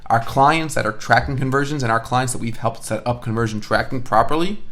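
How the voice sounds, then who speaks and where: A man with a mid-range voice talks through a software tutorial at a close mic. He sounds like an online instructor thinking aloud as he explains each step. Key phrases come back in repeated patterns for emphasis. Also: mid-range voice. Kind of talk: informal screencast software tutorial.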